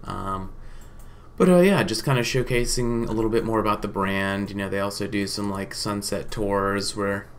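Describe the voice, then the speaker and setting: Male presenter, thirties, lowish voice, informal business explainer with halting delivery, some background noise. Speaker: marketing company presenter. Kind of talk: informal case study presentation to camera.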